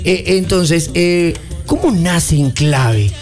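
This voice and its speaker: A man hosting a radio interview, upbeat and lively in his delivery. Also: fairly high pitch, slow, soft background music. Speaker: radio host.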